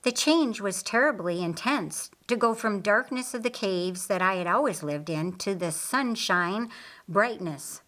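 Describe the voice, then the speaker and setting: A woman voicing a kindly, earnest male master of a household in an audiobook, speaking in a measured, formal way. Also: mid-range voice, expressive, fairly bright tone. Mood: reflective.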